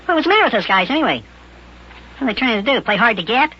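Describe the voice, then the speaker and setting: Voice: medium pitch, very animated, very fast, pushed and bright, husky, heavily acted. An actor playing a brash, whiny little boy in a children's old-time radio serial, slangy and full of exclamations. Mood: indignant. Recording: muffled old radio show recording.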